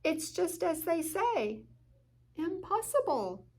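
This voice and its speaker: A woman's voice: very high voice, very animated, takes it slowly, a little mellow, voice pushed up, full character voice. A woman voicing a polite, self-assured female otter in a storybook, apologetic yet firm. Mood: politely dismissive.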